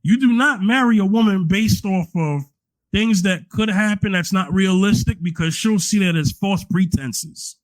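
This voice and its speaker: A man's voice: high voice, normal speed, no echo, poor audio. A man co-hosting a podcast, giving advice conversationally and emphatically, repeating phrases to build his point. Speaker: podcast co-host.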